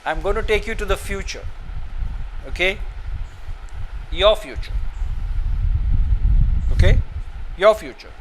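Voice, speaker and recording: A man's voice: high-pitched, lively intonation, a little slow, long pauses, fairly bright tone, slight background noise, poor audio. A man with an Indian accent giving a motivational session to students in a classroom. He repeats each instruction and keeps checking in with "okay?". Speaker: motivational speaker.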